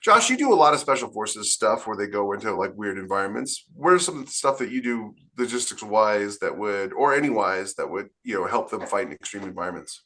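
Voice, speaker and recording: A man hosting a casual podcast, talking in animated, quick bursts and steering the conversation. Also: fairly low pitch. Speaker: podcast host, author.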